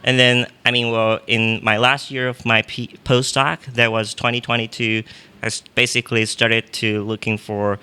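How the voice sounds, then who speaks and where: A man's voice: mid-range voice, level tone, moderate pace, pushed and bright, smooth. A man from Taiwan, a professor guest on a casual podcast, talking with many fillers and restarts.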